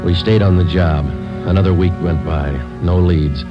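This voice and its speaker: A man playing a terse, weary police sergeant in a deadpan, clipped 1940s radio drama style. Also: low-pitched, normal speed, close to an everyday voice. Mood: matter-of-fact. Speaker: actor, lead and narrator of police radio drama. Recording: dull vintage radio recording with music.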